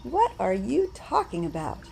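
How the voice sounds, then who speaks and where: An actress playing Bee, a vengeful woman who stings rude people, in a radio drama with a slow, measured delivery. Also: medium pitch, huge pitch swings, gravelly, darkish tone, slight background noise, full character voice. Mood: wistful.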